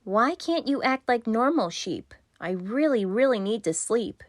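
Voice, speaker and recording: A woman's voice: on the high side, animated, unhurried, no echo, clean studio sound. A woman voicing a sleepless young boy in a rhyming storybook, exasperated and pleading.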